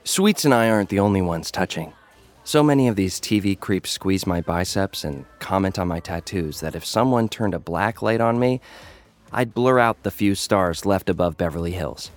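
Deep-voiced young streetwise fighter. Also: male voice, animated, moderate pace. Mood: wry, self-assured. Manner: wry, slangy, vivid storytelling. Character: young streetwise male online fighter-influencer narrating his own story. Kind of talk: first-person audio drama narration.

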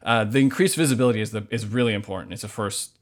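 Man, American accent, low voice, earnest, reflective, conversational podcast guest, feeling out his phrasing. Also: quick pace. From Hawaii, United States. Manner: earnest, reflective, searching for words. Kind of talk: thoughtful conversational interview answers.

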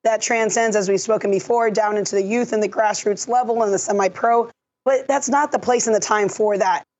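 Woman analyst, voice gone husky. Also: medium pitch, fairly even intonation, a little mellow. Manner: measured, explanatory, technical. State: lost her voice. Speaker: refereeing analyst. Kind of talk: podcast discussion.

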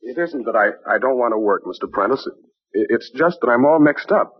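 A man's voice: mid-range voice, lively intonation, brisk, slightly rough, highs cut off. An actor playing an earnest, confused, obedient young male lab assistant in an old-time radio drama, hesitant and deferential. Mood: weary, bewildered. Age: young adult.

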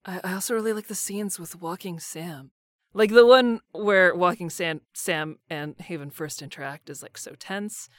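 Low-voiced woman chatting casually, very dry sound. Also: moderate pace, fairly bright tone, no room sound. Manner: informal, hesitant phrasing full of "like". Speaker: voice actor, guest. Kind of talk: casual cast interview chat.

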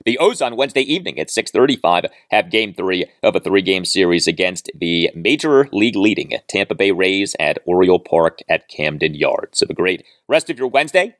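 A male sports commentator speaks alone as a podcast host. His voice is low and swings widely in pitch, and he leans hard on key phrases. The sound is dry. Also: fairly bright tone, dry recording.

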